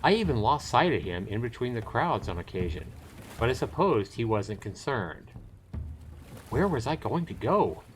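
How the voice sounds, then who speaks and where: A man's voice: medium pitch, very animated, normal speed, slight background noise. A man streaming a visual novel, reading it aloud with joking, casual asides. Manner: jokey, bantering asides between read passages. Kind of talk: live game read-aloud with casual banter.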